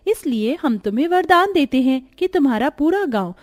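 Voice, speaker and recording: A magical female bird acted by a woman speaks in an extremely high voice with a very dry, close sound. She is stern and aggrieved, declaiming solemn curses and boons as in a cartoon fairy tale. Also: lively intonation, normal speed, dry recording. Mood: solemn, relenting. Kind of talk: Hindi cartoon story voice acting.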